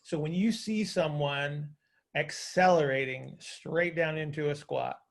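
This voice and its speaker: A man's voice: fairly high pitch, unhurried, gravelly. A man coaching on a group call in a conversational, teacherly way, often checking understanding with questions and repeating phrases for emphasis.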